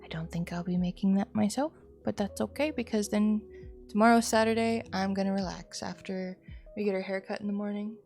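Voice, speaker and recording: A woman live streamer chatting casually to viewers in an expressive, rambling, playful way, sounding a little sleepy. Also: medium pitch, a little fast. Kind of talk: casual chatty livestream sign-off. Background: music.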